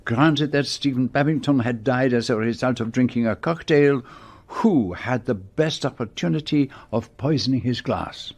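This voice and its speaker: An actor as a deliberate, shrewd male detective. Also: mid-range voice, a little mellow, lightly acted. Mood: measured. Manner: deliberate, theatrical, precise pauses. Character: shrewd, methodical detective explaining his solution. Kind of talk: radio mystery drama acting.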